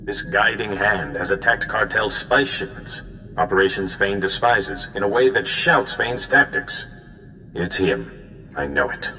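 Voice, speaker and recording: Grave, forceful Jedi mentor. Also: male voice, lowish voice, unhurried. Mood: urgent, foreboding. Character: grave, worried male Jedi mentor giving orders. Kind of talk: cinematic video game dialogue. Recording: hall reverb, faint background noise.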